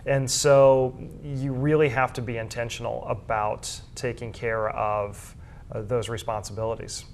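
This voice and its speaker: A man with a medium-pitched voice speaks as an interview guest. He is a school sports information director, explaining things in a measured, conversational way. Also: little pitch movement, a little hiss or hum.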